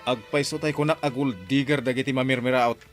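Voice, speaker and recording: A man's voice: mid-range voice, fairly even intonation, fairly quick, faint music behind, close to an everyday voice. A man acting in a radio drama as a brusque, suspicious and irritable uncle of a young girl. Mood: irritated.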